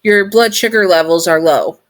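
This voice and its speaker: A storyteller voicing a gentle, protective male robot caretaker who speaks softly and coaxingly. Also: mid-range voice, moderate pace, no echo, pitched above the performer's own voice, lightly acted. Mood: soothing. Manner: soft, soothing, coaxing. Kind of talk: fan story reading.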